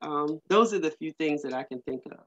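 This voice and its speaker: A woman's voice: sits low, expressive, a little fast. A woman speaking casually in a meeting over a video call, rambling and hesitant with many fillers. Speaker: community working group member. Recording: muffled lo-fi video call audio.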